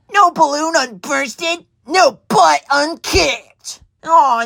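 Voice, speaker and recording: High, creaky, bratty balloon kid. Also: female voice, fairly bright tone, no room sound, exaggerated voice. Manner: gleefully mean, cheeky. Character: bratty, taunting balloon animatronic child. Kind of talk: horror game voice lines.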